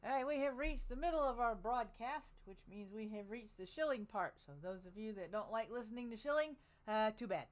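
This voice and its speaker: A woman's voice: on the high side, expressive, brisk, few pauses, low-quality recording. A woman hosting a podcast in casual chat, talking in a chatty, rambling way. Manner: chatty, rambling, laughs easily. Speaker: podcast host.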